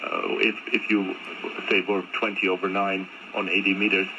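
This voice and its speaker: A man's voice: deep voice, little pitch movement, moderate pace, dull audio. A man chatting conversationally as an amateur radio operator, heard over a shortwave radio transmission. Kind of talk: casual ham radio exchange. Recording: noisy HF radio reception. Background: radio static noise.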